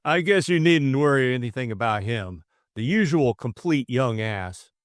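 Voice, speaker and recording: A man's voice: mid-range voice, animated, moderate pace, no room sound, clean studio sound, voice pushed up, natural voice. A man voicing a blunt, dismissive American conspirator with a casual way of talking in an audiobook.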